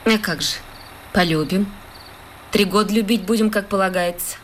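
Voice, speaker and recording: An actress playing a guarded, wry village dairy farm manageress in a radio play, laconic and dryly ironic. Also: female voice, mid-range voice, normal speed, slight background noise, lightly acted.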